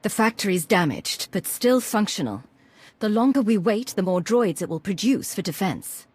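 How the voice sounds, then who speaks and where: An actress playing a composed, confident military commander in a video game, assured and deliberate, with a husky voice. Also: female voice, mid-range voice, lively intonation, a little mellow. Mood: composed, serious.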